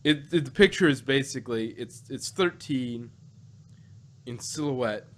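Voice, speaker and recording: A man's voice: medium pitch, unhurried. A man co-hosting a casual review podcast, chatting unscripted in a rambling, joking way. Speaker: podcast co-host reviewing audio dramas.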